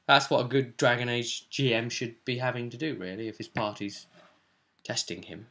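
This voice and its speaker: Bright-voiced man with a gravelly edge. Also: medium pitch, steady tone, moderate pace. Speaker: host and game master of a tabletop role-play show. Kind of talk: casual recap of a tabletop role-play session.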